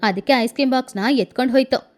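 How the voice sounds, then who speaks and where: A woman's voice: high-pitched, fast talker, darkish tone, pitched below the performer's own voice, natural voice. A woman voicing a fussy, theatrical adult female crow aunt in a cartoon, who wails and talks her way out of trouble. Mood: defensive. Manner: theatrical, wailing and self-justifying.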